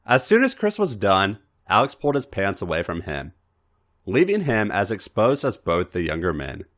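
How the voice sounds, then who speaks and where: Deep-voiced man narrating with sweeping pitch. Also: wildly swooping pitch, moderate pace, fairly bright tone. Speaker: podcast narrator reading fan fiction. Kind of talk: expressive scripted story narration.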